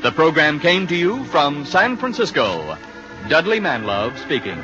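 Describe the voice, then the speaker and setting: A man's voice: a little high, very animated, unhurried. A man announcing scripted credits in the style of 1950s radio. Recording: muffled 1950 radio broadcast recording. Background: organ music.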